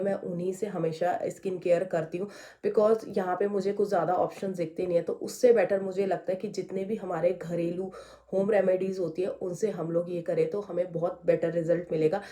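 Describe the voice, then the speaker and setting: A young woman from India, hoarse with a heavy voice from a sore throat, chatting her way step by step through a skincare routine at home. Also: on the low side, mellow. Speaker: beauty vlogger. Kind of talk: casual skincare tutorial chat. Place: indoors at home.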